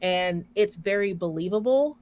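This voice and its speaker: A woman with a soft voice co-hosting a casual podcast, chatting at length and hedging with "like" and "you know". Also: medium pitch, expressive, moderate pace, a little hiss or hum. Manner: chatty, qualifying, filler "like". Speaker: podcast co-host. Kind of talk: casual chat.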